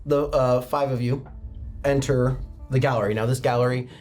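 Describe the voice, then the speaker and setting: Informal male game master, medium pitch. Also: fairly even intonation, moderate pace, a little mellow, soft background music. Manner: informal, conversational. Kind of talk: improvised tabletop game narration.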